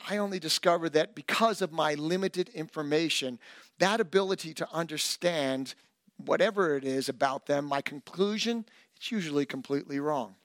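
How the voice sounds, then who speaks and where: A middle-aged man preaching a sermon as pastor, emphatic and animated, with self-deprecating humor. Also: on the high side, no echo, clean studio sound.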